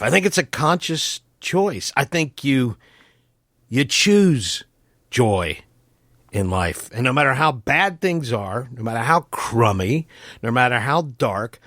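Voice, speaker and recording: Man playing a slow, sincere, folksy cleric. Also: mid-range voice, fairly bright tone, no echo, studio quality, exaggerated voice. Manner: earnest, plainspoken, slow deliberate phrasing.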